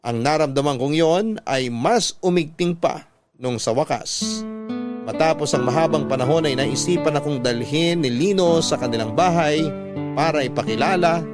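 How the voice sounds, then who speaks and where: A man's voice: a little high, normal speed, loud music behind the voice. A Filipino man reading a heartfelt letter as scripted narration in a radio storytelling style.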